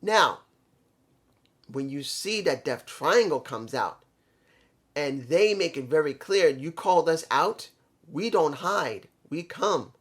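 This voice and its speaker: A man's voice: on the high side, animated, slow, fairly bright tone, husky. A man giving a casual solo review of a wrestling show, rambling and correcting himself as he stumbles over names.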